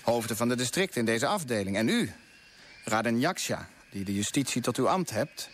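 A man's voice: mid-range voice, sing-song, a little fast, fairly bright tone. An idealistic colonial official in a radio drama. His delivery is eloquent and rhetorical, with a mid-range voice. Faint noise sits behind him. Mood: solemn, fervent. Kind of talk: Dutch radio play acting.

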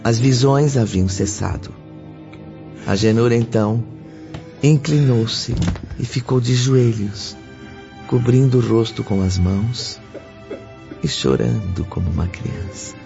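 A man's voice: medium pitch, takes it slowly, vocal fry, long pauses. A man with a Brazilian accent reading scripted narration for a radio drama. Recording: dull lo-fi audio under loud music.

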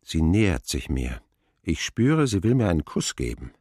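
An actor playing a reflective man who narrates his boyhood in a radio drama, expressive, wry and tender. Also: deep voice, normal speed, husky, no room sound, studio quality, full character voice. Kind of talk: dramatic first-person storytelling. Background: music.

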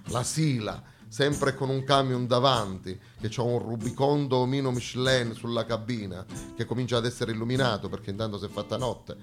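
A man with a southern Italian accent delivering a satirical stage monologue in a dramatic, sarcastic, expressive storytelling manner. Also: medium pitch.

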